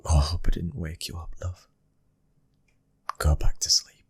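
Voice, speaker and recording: A British man playing a tender, devoted mafia husband and father in a soft ASMR roleplay, speaking in a gentle, breathy hush and often whispering. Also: low voice, little pitch movement, normal speed, dry recording. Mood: soothing, hushed.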